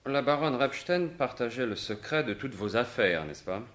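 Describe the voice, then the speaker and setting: An actor playing a confident, wry gentleman thief turned investigator in a French radio mystery in 1950s style, speaking in assured, formal, articulate phrases. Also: medium pitch, animated, moderate pace, close to an everyday voice. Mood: probing, grave.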